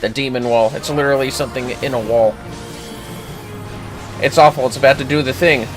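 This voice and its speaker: A man's voice: mid-range voice, fairly even intonation, fairly quick. A man livestreaming gameplay, casually explaining tactics step by step with informal, sweary commentary over music. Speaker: livestreamer, gaming host. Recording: music playing behind the voice.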